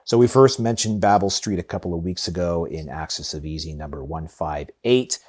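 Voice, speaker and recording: A man's voice: low-pitched, fairly quick, a little mellow. A man hosting a podcast, reading tech news from a script with casual, opinionated asides.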